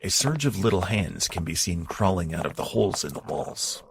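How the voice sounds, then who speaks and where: A man with a very deep voice narrates an audio drama in the second person. His delivery is steady and descriptive, with a fairly lively lilt. The recording has audible background noise. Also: moderate pace.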